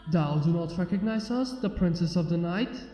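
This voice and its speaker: A man's voice: sits high, moderate pace, dark tone, close to an everyday voice. A man voicing a regal, formal female alicorn pony princess in a storybook, with archaic, stately speech. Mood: puzzled, formal. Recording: muffled, echoey long reverb under music.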